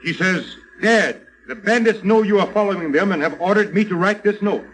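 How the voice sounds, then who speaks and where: Anxious father and leader, raised pitch, commanding and earnest, vintage radio serial. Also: fairly quick, fairly bright tone, low-quality recording. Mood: grave, anxious. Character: worried father, an authoritative leader called Doc. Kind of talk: old-time radio serial acting.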